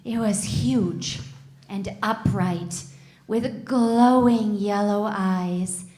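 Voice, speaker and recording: A woman's voice: mid-range voice, extremely slow, mellow. A woman acting an uneasy cartoon woman who tells of a creepy sighting, on a stage microphone. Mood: spooked, hushed. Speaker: actor at a table read. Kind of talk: live comedy script read. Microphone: stage microphone on stand. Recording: roomy stage microphone before an audience.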